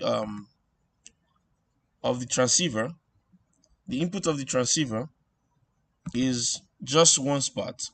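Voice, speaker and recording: A man giving an informal electronics tutorial, thinking aloud in a halting way and repeating phrases as he works things out. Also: medium pitch, vocal fry, fairly bright tone. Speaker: instructor.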